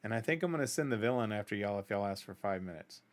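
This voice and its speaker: A man's voice: on the low side, expressive, fast talker. A middle-aged man hosting a music reaction video, talking casually and conversationally, giving opinions and often checking in with the listener. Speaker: host of a music reaction channel.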